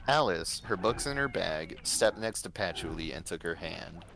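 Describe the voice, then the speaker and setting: A man with a low voice narrates a story for a stream. His pitch moves around in a lively way, and there is faint noise in the background. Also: moderate pace, creaky. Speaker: narrator reading a fan story on livestream.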